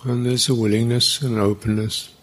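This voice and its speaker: A man guiding a meditation as a teacher, speaking in an unhurried, halting way with long pauses. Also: mid-range voice, level tone. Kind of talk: guided meditation, unscripted.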